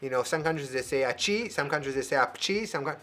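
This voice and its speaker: A man hosting a live variety show over a video call, playful and animated, laughing as he talks. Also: mid-range voice, quick pace. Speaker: host.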